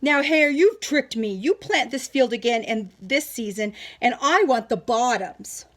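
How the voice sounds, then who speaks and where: A woman voicing a lazy, grumpy male bear in a children's story, groggy and slow-witted as he grumbles. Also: on the high side, expressive. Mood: indignant. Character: sleepy, lazy, grumpy big male bear, easily fooled.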